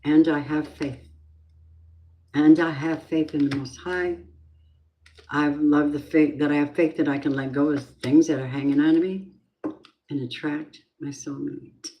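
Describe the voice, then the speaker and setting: A middle-aged woman with a low voice, chatting in a rambling, casual way as she gives a tarot reading on a live stream. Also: steady tone, unhurried, pauses often, dull audio. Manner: chatty, rambling, drifting off topic. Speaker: tarot reader.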